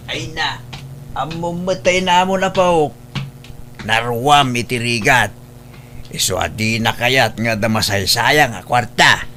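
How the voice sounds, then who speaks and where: A woman's voice: extremely low pitch, slow pace, fairly bright tone, a little hiss or hum, full character voice. A woman playing a feisty, protective elderly grandmother in an Ilocano audio drama, with an Ilocano accent. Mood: fond, plainspoken.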